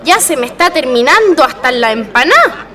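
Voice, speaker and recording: A woman's voice: high-pitched, big pitch swings, moderate pace, a bit bright. A woman with a Chilean accent playing an impatient, dramatic wife and mother in a radio play, complaining and exclaiming. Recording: busy outdoor crowd noise behind.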